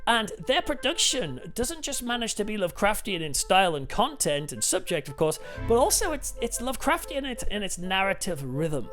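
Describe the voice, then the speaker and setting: A man's voice: high voice, very animated, moderate pace, hardly any pauses, fairly bright tone, soft background music. A man hosting a casual, enthusiastic, chatty review. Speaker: host and reviewer.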